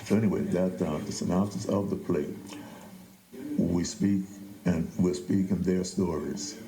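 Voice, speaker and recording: Very deep older New man, echoey. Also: fairly even intonation, unhurried, dark tone. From New Orleans, Louisiana. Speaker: playwright being interviewed. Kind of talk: conversational interview storytelling. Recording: echoey room with crowd murmur.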